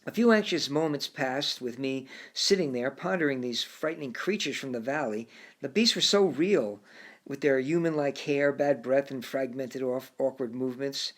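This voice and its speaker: A man narrating his own first-person story as an audiobook, reflective in tone and sometimes stumbling over a word and restarting. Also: medium pitch. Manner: reflective, with occasional halting restarts.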